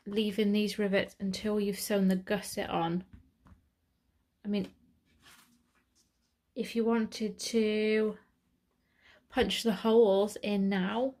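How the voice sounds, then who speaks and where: A British woman talks through a sewing project at a slow, easy pace. Her voice sits mid-range, casual and chatty, often pausing to correct herself as she demonstrates. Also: mid-range voice, a little mellow. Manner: chatty, self-correcting, thinking aloud. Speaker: sewing tutor. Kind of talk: step-by-step sewing tutorial.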